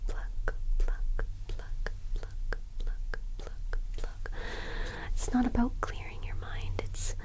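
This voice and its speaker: Female ASMR performer, breathy whisper, very slow, gentle and reassuring guided-relaxation delivery. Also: rough recording.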